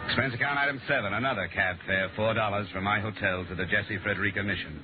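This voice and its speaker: A man's voice: lowish voice, steady tone, fast talker, lightly acted. An actor playing a world-weary male insurance investigator, narrating his case in clipped, matter-of-fact 1950s radio drama style. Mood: dry, world-weary. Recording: muffled vintage radio recording, music behind.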